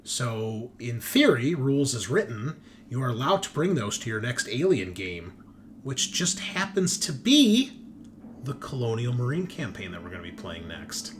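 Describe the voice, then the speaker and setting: A man's voice: medium pitch, big pitch swings, moderate pace. A man speaking casually and informally as game master and host, making announcements to listeners. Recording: noticeable background noise.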